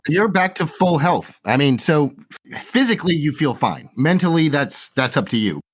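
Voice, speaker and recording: A man's voice: fairly high pitch, lively intonation, moderate pace, breathy edge. A man narrating and refereeing a tabletop role-playing game in an easygoing way. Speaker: game master.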